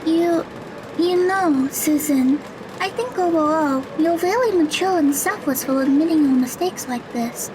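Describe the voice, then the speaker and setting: A woman playing a kindly, optimistic teenage high school girl in an audio drama. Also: a little slow, background noise. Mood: reassuring.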